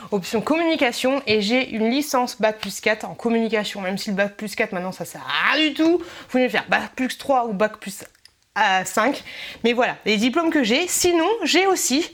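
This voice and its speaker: A woman in her thirties from Brittany, France, chatting casually in a vlog, lively and slangy with frequent laughter. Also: fairly high pitch. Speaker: vlogger host. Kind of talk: casual chat.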